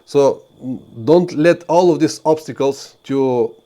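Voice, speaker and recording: A man's voice: on the high side, takes it slowly, warm tone. A man from Bulgaria, hosting a live stream and giving earnest motorcycle travel advice with frequent fillers and restarts. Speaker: motorcycle travel channel host. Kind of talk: live stream talk to viewers.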